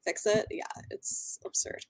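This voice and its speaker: A woman chatting casually about a book, with a halting, exasperated and dismissive way of talking. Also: a little mellow. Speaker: book readalong live chat co-host. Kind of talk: casual chat, book discussion.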